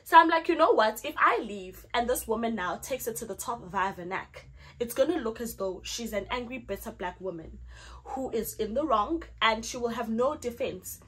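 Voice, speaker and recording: Animated South African woman, husky voice. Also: a little high, normal speed. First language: Zulu. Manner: animated, acts out her own lines in the retelling. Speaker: vlogger. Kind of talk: storytime vlog.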